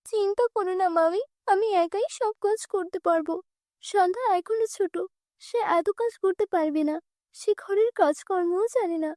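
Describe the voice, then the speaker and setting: A woman voicing a gentle, responsible young orphaned girl, an elder sister, speaking earnestly and reassuringly. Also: very high-pitched, moderate pace, no room sound, studio quality, voice pushed up. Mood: pleading.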